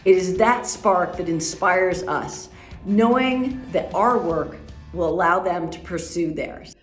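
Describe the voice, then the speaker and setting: A woman's voice: on the low side, takes it slowly. A woman delivering a scripted testimonial in polished, prepared sentences. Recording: roomy echo with music behind.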